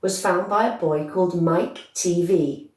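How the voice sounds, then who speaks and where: A kindly father in a storybook, read by a woman, announces the newspaper deliberately. The sound is echoey, set back from the microphone. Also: on the low side, darkish tone, natural voice. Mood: deliberate, announcing. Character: kindly, poor father reading the newspaper aloud. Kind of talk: storybook character voice. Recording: echoey room, distant microphone.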